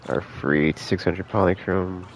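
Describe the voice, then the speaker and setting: A man's voice: low-pitched, fairly even intonation, a little slow, a little hiss or hum. A man casually rambling through live game stream commentary, thinking aloud in a laid-back, slangy way. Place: indoors, live stream with game music behind.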